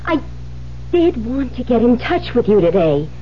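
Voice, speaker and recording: A woman's voice: a little high, very animated, a little slow. An actress playing an anxious, guarded young bride who hides her fear, hesitant and evasive, in a 1970s radio drama. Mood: defensive. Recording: muffled, noisy 1970s radio recording.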